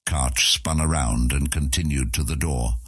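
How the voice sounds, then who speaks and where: A man narrating a fantasy audiobook in an expressive storytelling manner. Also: rumbling low, measured pace, husky, dry recording, clean studio sound.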